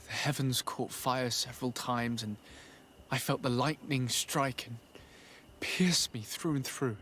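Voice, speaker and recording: A man's voice: mid-range voice, unhurried, a bit bright, lightly acted. An earnest young noble diplomat from a fantasy game, played by a man. He speaks formally and with care, in a mid-range voice, over faint background noise. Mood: shaken, hushed. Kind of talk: video game cutscene dialogue.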